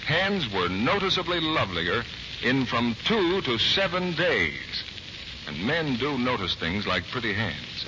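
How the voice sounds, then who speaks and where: A man announcing and selling in a polished, persuasive 1940s radio style. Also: mid-range voice. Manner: polished, persuasive sales delivery. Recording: muffled, noisy 1940s radio broadcast.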